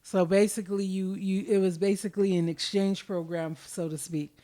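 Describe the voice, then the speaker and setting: A woman's voice: mid-range voice, steady tone, normal speed, warm tone, no room sound. A woman hosts a podcast in a frank, conversational way. Her voice sits in a middle range and is recorded close and dry, with no room around it. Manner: frank, conversational, asks probing questions. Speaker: podcast host. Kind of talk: casual podcast chat.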